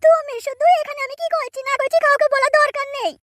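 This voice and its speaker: Tiny-pitched bossy, flirty cartoon wife. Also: female voice, steady tone, quick pace, fairly bright tone, no echo, clean studio sound. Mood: coaxing, flirtatious. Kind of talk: comic cartoon dialogue. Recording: music bed behind the voice.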